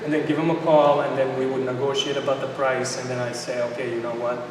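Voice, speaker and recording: A man's voice: medium pitch, level tone, quick pace. Echo-heavy, distant man with Bosnian accent. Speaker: interview guest, supplement company founder. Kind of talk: conversational interview storytelling. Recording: echoey hall, distant mic, noisy ambience.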